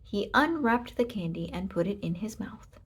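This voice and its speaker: A woman narrating a children's storybook aloud, with expressive, deliberate delivery and emphasis on short sentences. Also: medium pitch, husky. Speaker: storybook reader.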